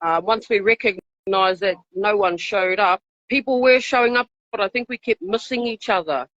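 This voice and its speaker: A woman's voice: medium pitch, moderate pace, a bit bright, no echo. A woman with a New Zealand accent giving an earnest, informal live interview as an activist. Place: outside a hospital, live call. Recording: dull lo-fi live stream audio.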